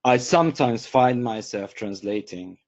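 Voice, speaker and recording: A man whose first language is Hungarian presents a software webinar, pausing often with fillers and restarting sentences. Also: medium pitch, expressive, muffled recording. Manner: hesitant, many fillers and restarts.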